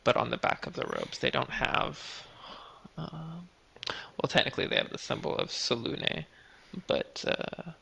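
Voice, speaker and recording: A man narrating a tabletop game as game master, halting and trailing off, with a gravelly, husky voice. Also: mid-range voice, huge pitch swings, sharp tone. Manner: halting, with trailing, unfinished phrases.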